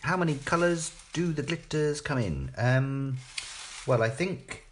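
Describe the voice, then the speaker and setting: A man's voice: a little high, animated, unhurried, a little hiss or hum. A British man chatting casually and thinking aloud as he hosts a live craft demonstration, often tagging questions onto his remarks. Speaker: craft demo host.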